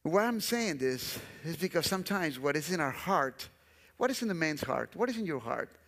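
A man's voice: a little high, big pitch swings, normal speed, fairly bright tone. A middle-aged man from Guatemala with a Guatemalan Spanish accent, a guest pastor preaching an earnest sermon on a large church stage, often repeating his phrases for emphasis. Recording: long church hall reverb.

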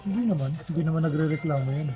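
A man's voice: fairly high pitch, very fast, over music. A man with a Filipino accent chatting casually in short, informal remarks. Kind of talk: casual chat.

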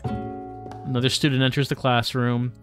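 A man's voice: medium pitch, flat delivery, a little slow. A man livestreaming a visual novel, reading the text aloud and adding casual, dry, tongue-in-cheek asides. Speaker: streamer playing a visual novel. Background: game music.

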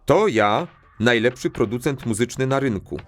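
A man's voice: mid-range voice, expressive, moderate pace, natural voice. A man reading formal voice-over translations of slang lines in a comic audio drama. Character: formal lector with literal, proper phrasing.

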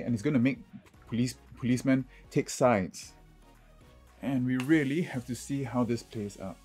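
A man with a husky voice, a drag queen giving chatty, casual vlog commentary and often checking in with "okay". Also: medium pitch, darkish tone. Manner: chatty, punctuates points with "okay".

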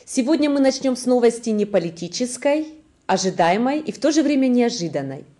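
Mellow, lively female news presenter. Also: fairly high pitch, fairly quick. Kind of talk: scripted newsreading. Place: indoor studio.

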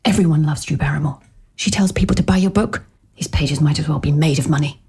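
An actress playing a pushy, upbeat new-age book agent with a breathy voice in an audio romantic comedy. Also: female voice, sits low, fast, darkish tone, heavily acted. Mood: persuasive. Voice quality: breathy, husky. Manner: persuasive, breezily insistent.

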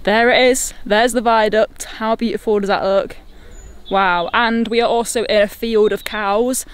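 A woman's voice: mid-range voice, steady tone, a little slow, clear, resonant tone. An enthusiastic, chatty woman, talking casually as she vlogs on a walk outdoors. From Nottingham, England. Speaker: travel vlogger. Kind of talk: casual walking vlog to camera. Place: outdoors in open countryside.

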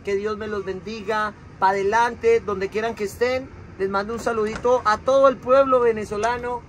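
High-voiced Colombian man filming a truck yard. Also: steady tone, normal speed, slight background noise. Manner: chatty and affectionate, full of diminutives. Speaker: trucking company owner and vlogger. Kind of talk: casual vlog walkaround. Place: outdoor truck yard and truck cab. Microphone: phone camera held at arm's length.

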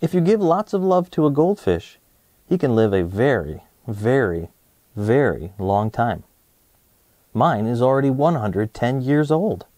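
A man in his thirties presenting and reading a children's storybook aloud in an expressive way, speaking to young listeners. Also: mid-range voice, a little slow, no echo. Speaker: host of a children's read-aloud show.

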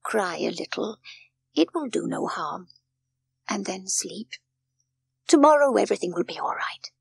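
A woman voicing a kindly, good-humoured older male doctor in a storybook, with a gentle, measured manner. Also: on the high side, very animated, vocal fry, breathy edge, heavily acted. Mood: kindly, soothing. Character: kindly, jovial grey-haired male doctor, calm and reassuring.

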